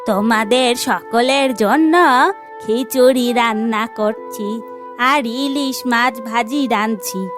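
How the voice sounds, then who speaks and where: A woman voicing a homely old village grandmother in a cartoon, unhurried and matter-of-fact. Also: a little high, steady tone. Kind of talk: cartoon voice acting. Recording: clear music behind the voice.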